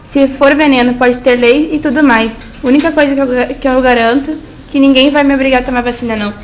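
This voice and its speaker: A man's voice: very high-pitched, steady tone, quick pace, lo-fi sound, slight background noise, pitched above the performer's own voice. A man voicing a fearful washerwoman in a radio drama, hesitant and stumbling over his words but insistent. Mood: wary. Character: fearful working-class washerwoman, woman.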